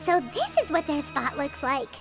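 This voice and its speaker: A woman's voice: sits high, sing-song, normal speed, highs cut off, over music. A woman voicing a tiny, childlike fairy companion in a video game, chirpy and curious. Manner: refers to self in third person, exclaiming. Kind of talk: animated video game character acting.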